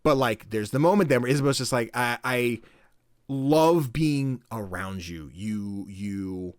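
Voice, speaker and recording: A young man co-hosting a casual podcast, talking excitedly, swearing often and acting out game dialogue in higher voices. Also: measured pace, no echo, studio quality. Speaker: podcast co-host.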